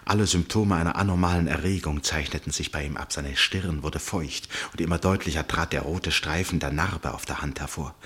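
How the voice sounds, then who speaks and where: A man playing a grave, concerned gentleman who narrates a radio drama in a dramatic, deliberate manner. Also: rumbling low, breathy edge, full character voice.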